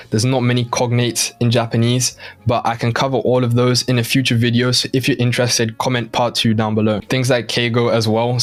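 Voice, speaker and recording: Young male native English speaker for language-learning explainer: lowish pitch, little melodic movement, casual and reassuring. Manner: encouraging, reassuring. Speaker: language-learning video host. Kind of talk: casual explanatory talk to camera.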